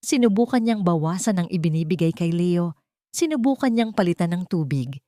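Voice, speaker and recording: A woman reading a scripted story as a dramatic, expressive storyteller. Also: lowish voice, moderate pace, dry recording, clean studio sound.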